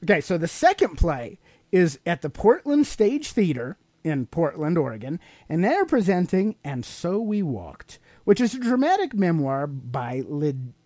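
A man's voice: on the high side, big pitch swings, a little slow, darkish tone, no echo. A man with an American accent co-hosting a podcast in a casual, teacherly chat, asking questions and explaining things simply to a child. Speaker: podcast co-host.

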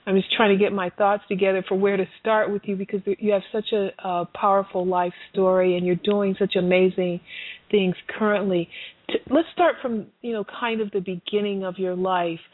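A woman's voice: mid-range voice, fairly even intonation, normal speed, no room sound. A woman host asks long, wandering questions, often restarting as she searches for words. The sound is dry and thin, like a phone line. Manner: rambling, stumbling, searching for words. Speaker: radio host. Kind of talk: interview questions.

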